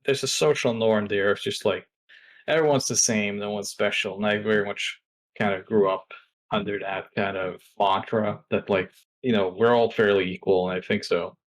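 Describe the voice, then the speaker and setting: A fairly low-pitched middle-aged man, talking as an interview guest. He muses in a loose, rambling way, circling back and restarting his sentences. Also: fairly even intonation, normal speed, poor audio. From Norway. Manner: reflective, rambling with many restarts. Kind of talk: casual interview talk.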